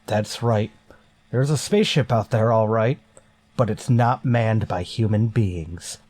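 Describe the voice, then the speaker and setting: A man's voice: fairly low pitch, measured pace, a little mellow, dry recording. An actor playing a stern, wary male starship captain in a sci-fi radio drama, with a clipped, commanding manner. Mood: grim, wary. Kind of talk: golden age sci-fi radio drama acting.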